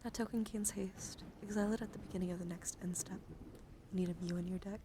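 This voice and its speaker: A woman hosting an ASMR livestream, drifting between whispers and soft, playful, chatty speech as she talks to viewers. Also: medium pitch, steady tone, quick pace. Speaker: livestream host. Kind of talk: ASMR card showcase with casual chat to viewers. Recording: clearly noisy background.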